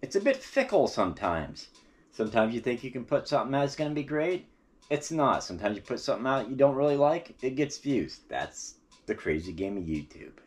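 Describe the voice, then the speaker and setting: A man's voice: medium pitch, big pitch swings, a little fast, husky. An American man telling local stories as a vlogger in a casual, folksy, chatty way, talking straight to his viewers. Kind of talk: casual storytelling vlog about local history. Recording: noisy, with ambient vehicle sounds.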